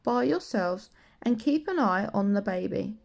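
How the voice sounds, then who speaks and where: A woman's voice: medium pitch, animated, unhurried, vocal fry, a little mellow. A woman voicing a harried, exasperated mother in a children's storybook, speaking in a firm, weary manner. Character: harried, exasperated mother wanting peace and quiet.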